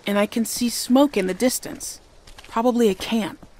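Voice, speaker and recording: A woman's voice: a little high, moderate pace, darkish tone, close to an everyday voice, poor audio. Composed, persuasive woman scout played by an actress. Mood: calm, businesslike. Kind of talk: video game drama acting.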